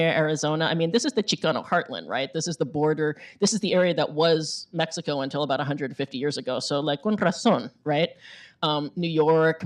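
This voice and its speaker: A woman author giving a conversational book talk in an informal way, often checking in with "right?". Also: deep voice. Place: bookstore event before an audience.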